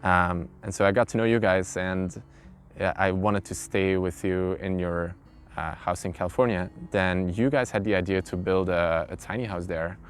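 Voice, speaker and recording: A man's voice: sits low, fairly even intonation, moderate pace. A man, an English speaker, telling his own story informally in an interview. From Belgium. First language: not English. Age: early twenties. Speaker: interviewee, carpenter. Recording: clear background noise, ambient sound.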